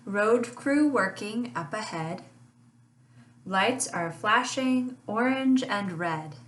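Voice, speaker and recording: Slow, sing-song woman reading a picture book. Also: medium pitch. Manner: slow, sing-song rhyming read with lively honks. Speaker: family literacy teacher. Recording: echoey room, voice a bit far from mic.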